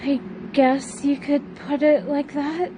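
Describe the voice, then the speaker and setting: High-voiced, slow, hesitant teenage daughter played by a woman. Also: little pitch movement, background noise. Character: teenage daughter, reserved, evasive, tired. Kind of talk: amateur audio drama acting, naturalistic.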